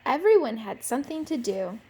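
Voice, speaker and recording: A woman's voice: fairly high pitch, brisk, breathy edge. A woman teacher reading a picture book aloud expressively to children. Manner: expressive, simple phrasing for young children.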